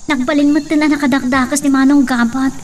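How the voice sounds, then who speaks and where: Woman acting anxious high-voiced young daughter. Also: steady tone, quick pace, darkish tone, natural voice. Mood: alarmed. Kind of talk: Ilocano radio drama acting.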